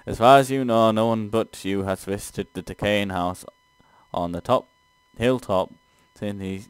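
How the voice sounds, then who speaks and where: Male gaming co-host, low voice, dry close sound; stumbles through read-aloud text, swears casually in exasperation. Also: smooth, dry recording. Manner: stumbling reader, profane asides, exasperated. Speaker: gaming show co-host playing and reading aloud. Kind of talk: casual let's play, reading game text aloud.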